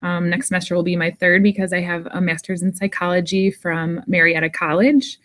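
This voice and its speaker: A woman's voice: fairly low pitch, flat delivery, brisk, fairly bright tone. A thirty-year-old woman from the United States, a student introducing herself in a group presentation.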